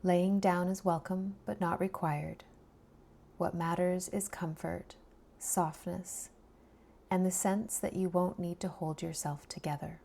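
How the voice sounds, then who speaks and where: Woman doing slow guided meditation, soft breathy almost whispery voice, gravelly fry at times, soothing w long pauses. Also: fairly low pitch, steady tone. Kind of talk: guided body scan meditation.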